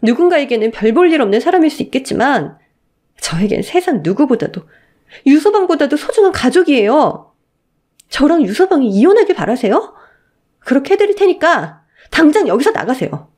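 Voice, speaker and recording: A woman's voice: sits high, very animated, a little fast, darkish tone, voice pushed up. A woman playing a resolute young wife in a melodramatic audio story, speaking firmly and emotionally. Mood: defiant. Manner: firm, emotional, pleading edge. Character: indignant, resolute young married daughter-in-law.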